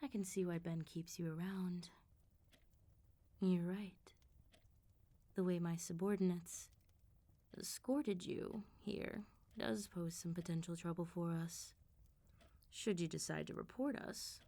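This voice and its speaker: A vampire mob boss played by a woman speaks in a low, dark, mellow voice, soft and close as in ASMR. She is controlled and smooth-talking. Her teasing calm carries a quiet threat. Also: slow, pauses often. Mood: coolly assessing.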